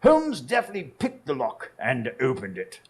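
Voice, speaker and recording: An actor playing an earnest detective's sidekick who narrates dramatically and joins the dialogue in a live radio drama, gravelly and husky. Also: male voice, mid-range voice, wildly swooping pitch, unhurried, full character voice. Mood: narrating.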